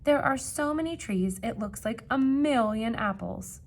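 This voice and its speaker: Expressive woman reading aloud, creaky voice. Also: a little high. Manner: expressive, dramatic gasps and emphasis, pausing to ask questions. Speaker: teacher reading a picture book aloud. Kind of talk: animated children's read-aloud with questions to listeners.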